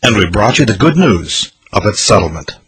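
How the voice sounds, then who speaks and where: A man narrates a radio show's year in review in an earnest, promotional tone. His voice sits low and carries a husky grain. His intonation rises and falls in a lively way. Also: normal speed. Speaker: radio show host.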